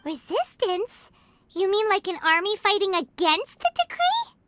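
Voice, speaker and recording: A woman voicing a tiny, childlike, excitable fairy companion in a video game, with a squeaky voice. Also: unhurried, noisy background, heavily acted. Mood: worried. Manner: excitable, refers to herself in the third person. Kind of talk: animated video game voice acting.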